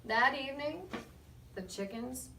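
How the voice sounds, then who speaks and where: A woman reading a picture book aloud to children as a guest storyteller, with expressive, measured delivery and short asides to her listeners. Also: high-pitched. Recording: roomy, distant mic, faint background noise.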